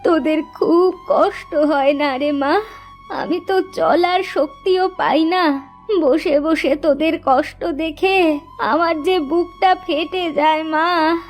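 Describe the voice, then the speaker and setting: A woman acting as a weak old village mother in a cartoon fable. She speaks very slowly and plaintively, full of sorrow. Faint music plays underneath. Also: high-pitched. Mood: weary, sorrowful. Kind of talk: cartoon moral story voice acting.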